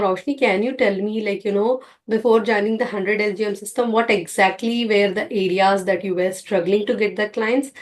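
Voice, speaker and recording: A woman mentor interviewing her student on a certification call, filling her talk with "like, you know". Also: medium pitch. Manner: leans on "like, you know" constantly. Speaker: makeup course mentor.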